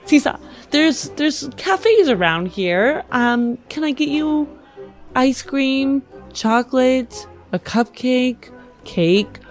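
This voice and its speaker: A woman's voice: high-pitched, animated, takes it slowly, soft background music. A woman playing a tabletop roleplaying game, improvising lines in character.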